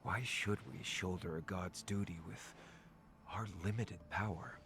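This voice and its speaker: A man voicing a somber game character, a grieving ferryman of souls who speaks in a measured, grave way. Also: deep voice, fairly bright tone, a little hiss or hum. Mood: somber, reflective. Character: somber male ferryman of souls, mourning his mentor. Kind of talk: video game voice acting.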